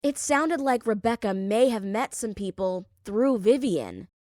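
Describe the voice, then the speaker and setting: A woman's voice: on the high side, big pitch swings, a little slow, a little mellow, no echo, studio quality. A woman reading scripted first-person fiction as an audiobook narrator.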